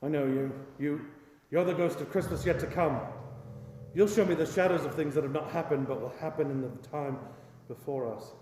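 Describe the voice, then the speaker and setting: An actor playing a frightened, repentant old miser in a radio play, pleading and halting. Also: male voice, on the high side, darkish tone, slight background noise, close to an everyday voice. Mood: fearful, resolved. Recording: echoey hall with music behind.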